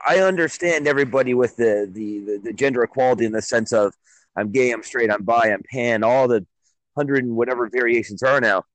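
A man's voice: mid-range voice, a little fast, dry recording, low-quality recording. A man co-hosting a casual radio talk show, speaking bluntly and with emphasis. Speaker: co-host.

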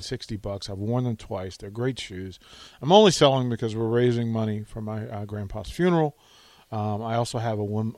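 A man hosts a casual radio show in a middle-pitched voice. He talks conversationally and likes to repeat a phrase to drive it home. The studio sound is dry. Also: no room sound. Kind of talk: casual chat.

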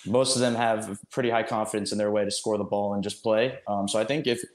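A man's voice: fairly low pitch, fairly even intonation, fast. A man giving casual sports analysis as a panelist in meandering, hesitant explanations, with a roomy echo. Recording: roomy, echoing sound.

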